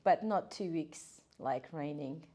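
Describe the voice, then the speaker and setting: Woman, thirties, earnest and hesitant, slightly low voice, podcast guest. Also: slow pace, mellow. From Iran. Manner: earnest, hesitant, many fillers and restarts. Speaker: guest, occupational therapist. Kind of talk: casual podcast interview.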